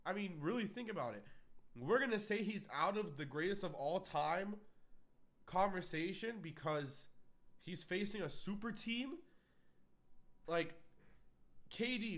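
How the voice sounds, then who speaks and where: A man's voice: high-pitched, animated, normal speed, a bit bright. A man from Miami, stuffed up with a cold and a bit nasal, hosting his own sports channel and giving a rambling, emphatic opinion with many "uh"s and restarts. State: congested, under the weather. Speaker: sports vlogger. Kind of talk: casual sports opinion monologue.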